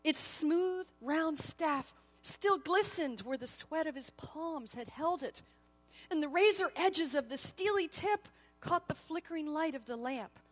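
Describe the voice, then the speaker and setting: High-voiced woman telling a dramatic story. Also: lively intonation, a little slow. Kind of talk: dramatic scripted story narration.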